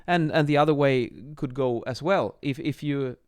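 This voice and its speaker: A man's voice: medium pitch, normal speed, smooth. Man giving an informal technical lesson. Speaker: acoustics instructor, presenter. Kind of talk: informal whiteboard explainer lesson. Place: small home office, indoors. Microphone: microphone on boom arm, close.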